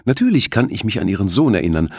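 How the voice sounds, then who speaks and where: A man voicing a polite, helpful woman, a ship's purser, brisk and courteous on the phone in an audiobook. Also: on the low side, sing-song, a little mellow, slightly rough, no room sound, studio quality, pitched above the performer's own voice, exaggerated voice. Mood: friendly.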